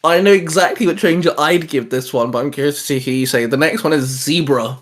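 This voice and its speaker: A man's voice: a little high, brisk. A man hosting a casual fan discussion in a chatty, informal way. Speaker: host.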